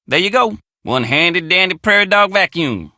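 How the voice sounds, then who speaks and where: Young country handyman for a game, folksy american drawl, bright voice, really swoopy pitch. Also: lively intonation, moderate pace, no echo, clean studio sound, full character voice. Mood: upbeat, eager. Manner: folksy, plainspoken country phrasing. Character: folksy young handyman, simple country boy, friendly and plainspoken. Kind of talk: video game character dialogue.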